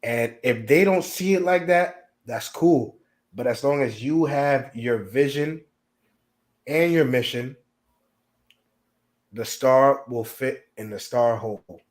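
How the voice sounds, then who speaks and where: Man, discussion guest, calm and encouraging, mid-pitched everyday voice. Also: slow pace. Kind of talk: advice-giving discussion.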